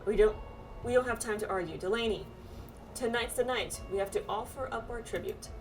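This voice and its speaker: An earnest, dutiful young pilgrim from a fantasy game, read aloud by a woman. The delivery is measured and stern in a fairly high voice, with music behind and a little distance from the mic. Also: natural voice.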